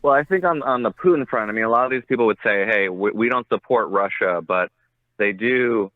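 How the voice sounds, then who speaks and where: A man's voice: on the low side, fairly quick, dry recording. A man giving analysis as an interview guest, tentative and often restarting as he thinks aloud. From United States. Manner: tentative, hedging, thinking aloud with repeated starts. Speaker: interview guest, journalist and author. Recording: muffled, thin phone-like line.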